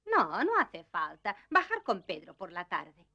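An actress playing a kind, gentle old grandmother in a dubbed children's cartoon, reassuring and affectionate. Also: medium pitch, huge pitch swings, a little slow, lo-fi sound, exaggerated voice. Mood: cheerful.

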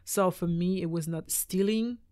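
A young woman raised in Belgium, a French native speaker, chatting as a guest on a podcast in a small studio, animated and gesturing with her hands. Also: on the low side, clean tone. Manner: animated, talks with her hands, quick laughs. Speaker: guest, choreographer and dancer. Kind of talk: casual interview chat. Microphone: studio mic on boom arm.

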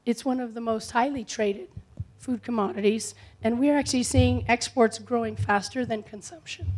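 A woman speaking as a conference panelist, hoarse from a cold, answering in a measured way and often clearing her throat. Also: on the high side, darkish tone, poor audio. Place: conference hall.

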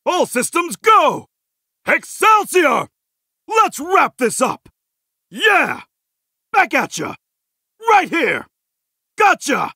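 A goofy middle-aged video game hero, earnest and over-eager. His voice sits very high and swings wildly in pitch, with a bright, forceful edge. Every short battle line comes out as a cheesy heroic exclamation. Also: no room sound, studio quality, full character voice. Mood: triumphant, cocky.

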